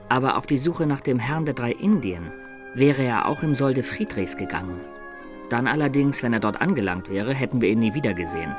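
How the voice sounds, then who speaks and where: A man's voice: medium pitch, natural voice. An actor playing a cunning adventurer who tells his own past reflectively in a radio drama. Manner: reflective first-person storytelling.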